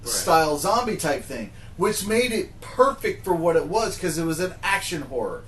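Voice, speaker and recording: A man's voice: on the high side, lively intonation, normal speed, a bit bright. A man in a casual panel discussion, talking informally and arguing through examples. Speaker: podcast co-host. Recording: faint background noise.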